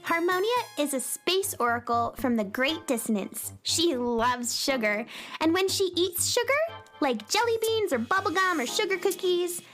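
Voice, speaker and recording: Very high, perky little girl. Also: lively intonation, moderate pace, fairly bright tone, soft background music. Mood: explaining. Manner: earnest, chatty, exclaiming. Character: perky, good-hearted little girl, space adventurer. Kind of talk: children's radio drama acting.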